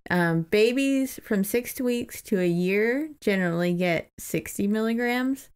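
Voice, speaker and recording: A woman's voice: mid-range voice, a little slow, clean tone. A woman co-hosting a podcast, chatting casually about a book. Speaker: podcast co-host.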